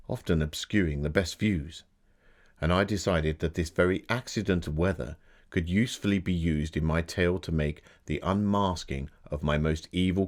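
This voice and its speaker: Victorian gentleman author, played by a male actor, low-pitched, theatrical scripted storytelling, formal and dramatic. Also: lightly acted. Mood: inviting, ominous.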